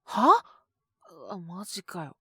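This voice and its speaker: A woman's voice: medium pitch, very animated, a bit bright, dry recording, studio quality, full character voice. A woman voicing a proud, prickly teenage schoolboy, unwell and easily flustered, in a boys' love audio drama. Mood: confused. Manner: halting, defensive, rough boyish speech.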